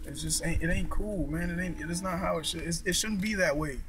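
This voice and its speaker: Halting young man, slightly high voice. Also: a bit bright, a little hiss or hum. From United States. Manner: halting, restarts sentences, frequent throat clearing. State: mouth numb after dental work. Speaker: vlogger. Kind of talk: casual rambling vlog. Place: outdoors in a park. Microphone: handheld camera.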